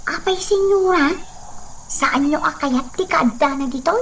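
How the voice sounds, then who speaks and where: A gossipy maidservant with an extremely high voice and an Ilocano accent. She chatters deferentially in radio drama style, with faint insect noise behind her. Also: unhurried. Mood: gossiping, concerned. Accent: Filipino, Ilocano.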